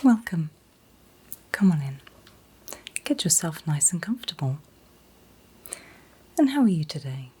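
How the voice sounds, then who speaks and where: Woman whispering very slowly, breathy ASMR. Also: deep voice, big pitch swings. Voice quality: breathy, mostly unvoiced whisper. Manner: gentle, soothing, reassuring, unhurried. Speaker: ASMR performer playing an optician. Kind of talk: whispered ASMR eye exam roleplay.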